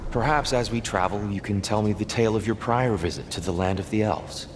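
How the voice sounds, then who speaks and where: An actor playing a gentle, learned god in a video game, speaking in a measured, courteous way. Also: male voice, lowish voice, reverberant, background noise, natural voice. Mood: curious, solemn. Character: gentle, learned, world-weary Norse god of peace. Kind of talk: dramatic video game character acting.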